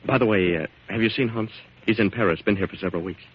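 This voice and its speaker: An actor playing an earnest, excitable man with emphatic delivery in 1940s radio drama style. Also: fairly low pitch, quick pace, close to an everyday voice. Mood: eager. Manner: emphatic, incredulous questioning. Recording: muffled, hissy 1940s radio recording.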